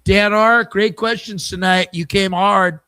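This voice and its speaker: A man's voice: high voice, steady tone, measured pace, sharp tone, clean tone, no echo. Rambling sports podcast host, man. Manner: loose, rambling and friendly. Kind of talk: casual sports show chat.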